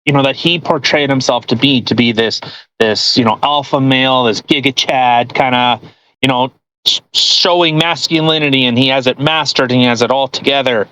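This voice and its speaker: A man's voice: mid-range voice, moderate pace, pushed and bright, poor audio. A man hosting a podcast in casual, mocking commentary with crude joking. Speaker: podcast host.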